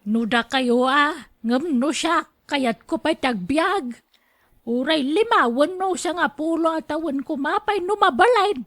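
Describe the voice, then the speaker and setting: Very shrill, stubborn old grandmother. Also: a little slow, fairly bright tone. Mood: stubborn, proud. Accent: Ilocano. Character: stubborn, proud elderly mother and grandmother, insisting she is strong. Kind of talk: radio drama acting.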